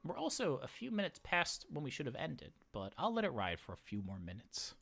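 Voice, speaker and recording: A man's voice: medium pitch, wildly swooping pitch, brisk, gravelly, fairly bright tone, faint music behind. A man hosting a casual livestream, chatting in an informal, rambling, jokey way. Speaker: livestream host.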